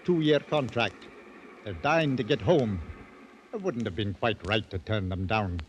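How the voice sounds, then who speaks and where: A pedantic captain, lively pitch swings. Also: male voice. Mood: matter-of-fact. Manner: pedantic, deliberate. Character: stolid, literal-minded, unflappable male ship's captain. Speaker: actor playing a ship's captain. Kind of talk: 1940s radio acting. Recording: old radio broadcast with background noise.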